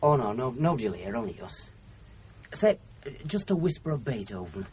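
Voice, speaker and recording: An actor playing a bookish, devoted young bridegroom with a Northern English accent in a radio drama, hesitant, stammering and apologetic. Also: male voice, medium pitch, sing-song, normal speed, darkish tone, a little hiss or hum, lightly acted. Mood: nervous. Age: young adult. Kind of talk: 1970s radio drama acting. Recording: muffled 1970s radio broadcast, music behind.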